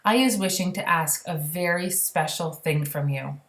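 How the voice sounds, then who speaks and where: A woman voicing a friendly, calm giant from a storybook, unhurried and folksy, with muddled words. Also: lowish voice, natural voice. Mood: earnest. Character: friendly old giant, calm, simple, mangled grammar. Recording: roomy, echoey sound.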